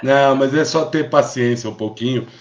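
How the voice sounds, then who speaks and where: A man's voice: medium pitch, moderate pace, crowd noise. A Brazilian man hosting a live stream in a casual, reassuring way, often checking in with short tag questions. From Brazil, born in Rio de Janeiro. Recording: dull, lo-fi live stream audio.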